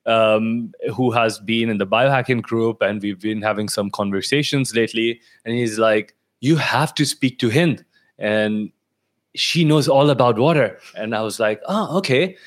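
A man hosting a podcast, introducing and questioning his guest in an enthusiastic, conversational way. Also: medium pitch. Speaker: podcast host. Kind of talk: casual interview hosting.

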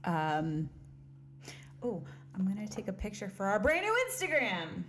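A woman's voice: mid-range voice, big pitch swings, measured pace, fairly bright tone, a little hiss or hum. A woman co-hosting a casual podcast, rambling and laughing often, recorded on a studio mic while wearing headphones. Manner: giggly, rambling, self-deprecating. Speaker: podcast co-host. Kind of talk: casual chat.

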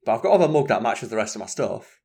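A man's voice: on the low side, expressive, rapid-fire, darkish tone, breathy edge. An English man co-hosting a live stream from home, chatting casually in a rambling way and swearing freely. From England. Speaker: co-host.

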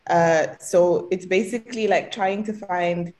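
Brief: young woman guest, somewhat low voice, relaxed and explanatory. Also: unhurried. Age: young adult. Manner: relaxed, explanatory, laughs lightly. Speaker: student guest. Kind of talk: casual podcast chat.

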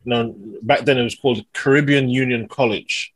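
A man's voice: medium pitch, steady tone, normal speed, fairly bright tone, breathy edge, no room sound, poor audio. A London-born man, a singer and songwriter, talking enthusiastically as a podcast guest in casual conversation. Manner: enthusiastic and effusive, laughs easily. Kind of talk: casual interview chat.

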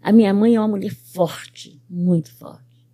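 An older woman from Brazil, a pet groomer and business owner, telling her life story in an interview with lively quoted speech. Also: medium pitch, unhurried. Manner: animated storyteller who quotes other people's words.

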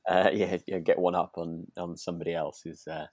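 A man with a slightly low voice, recorded dry, chats as a podcast co-host. He is agreeable and hesitant, feeling his way through his thoughts in a relaxed style. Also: a little fast, husky, dry recording. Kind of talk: relaxed chat discussing a radio drama.

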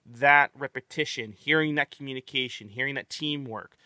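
A man with a bright, forceful voice hosts a casual movie-review podcast. He rambles, restarts his sentences with stammers and swears freely. The sound is close and dry. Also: mid-range voice, moderate pace, dry recording. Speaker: podcast host.

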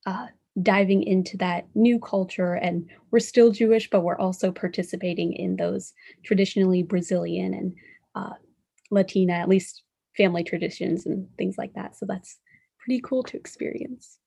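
A woman's voice: medium pitch, steady tone, normal speed, vocal fry, dark tone, breathy edge. Friendly modest teen girl. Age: teens. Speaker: student panelist. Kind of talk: casual discussion.

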